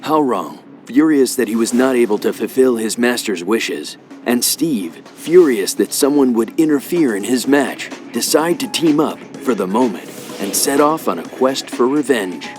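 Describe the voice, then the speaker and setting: A man's voice: mid-range voice, expressive, moderate pace, close to an everyday voice. A man reading scripted dramatic narration as the narrator of a video game story. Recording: music behind.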